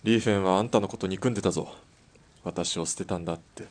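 Man acting a low-voiced, gruff young sniper. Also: fast talker, a little mellow. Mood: probing. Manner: blunt, rough masculine speech. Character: perceptive, steady, gruff hired sniper. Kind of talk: Japanese radio drama acting.

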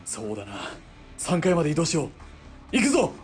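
A man's voice: wildly swooping pitch, fairly quick, over music. A man playing a cool, clipped military spotter. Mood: alert. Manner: clipped, composed. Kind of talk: radio drama acting. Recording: faint rumbling background noise.

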